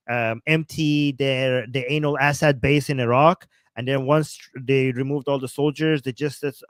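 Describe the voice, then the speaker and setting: A man with a Persian accent, talking as host of a casual political livestream, excited and rambling, with many fillers and restarts. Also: medium pitch, dry recording.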